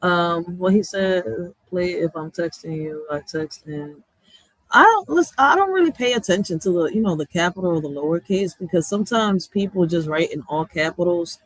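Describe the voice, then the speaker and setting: A woman's voice: lowish voice, moderate pace, no echo, rough recording. A woman with a small, girlish voice, chatting casually in blunt slang and often raising her voice as a streamer. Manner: animated, blunt, slangy, raises voice. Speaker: streamer host. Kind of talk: casual reaction chat.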